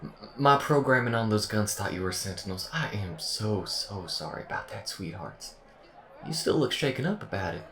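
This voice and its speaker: A man's voice: medium pitch, lively intonation, fast, a bit bright, reverberant. A man playing a cheery, folksy, sweet-talking AI helper with a Southern US accent, in a scripted audio roleplay. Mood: apologetic, tender. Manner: chirpy, friendly, chuckling, folksy.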